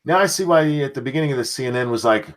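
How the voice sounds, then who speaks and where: Older man, sarcastic and crude, lively pitch. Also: rapid-fire. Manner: sarcastic, mocking, heavy swearing; reads chat and an article aloud. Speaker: livestream host. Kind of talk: crude reaction commentary.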